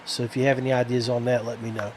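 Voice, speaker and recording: A man hosting a podcast alone in casual, rambling chat, often halting and repeating words. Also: medium pitch, flat delivery, darkish tone, low-quality recording. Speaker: podcast host. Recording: clear background noise.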